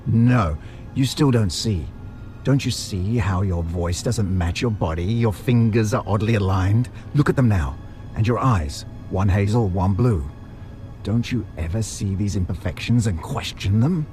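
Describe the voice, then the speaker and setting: A man playing a maniacal butcher villain in an audio drama, taunting in a theatrical, drawn-out way. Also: fairly low pitch, dark tone, faint music behind, close to an everyday voice. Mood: gloating. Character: menacing maniacal butcher villain, gloating over his victim.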